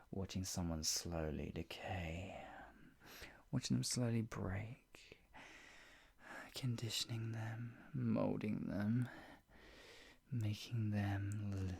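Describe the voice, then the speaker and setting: A performer playing a sadistic, obsessive yandere captor in an improvised ASMR roleplay, creaky, taunting and drawn out, slipping between talk and whispers. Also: sits low, darkish tone, full character voice. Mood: sinister, relishing. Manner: taunting, drawn-out delivery with frequent giggles and whispers.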